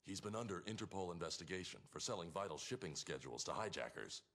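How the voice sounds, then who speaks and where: An actor playing a stern, brooding masked vigilante in a cartoon, questioning people in a terse, controlled manner. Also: male voice, sits low, big pitch swings, fast talker, a bit bright, full character voice. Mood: grave. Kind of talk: animated superhero series acting.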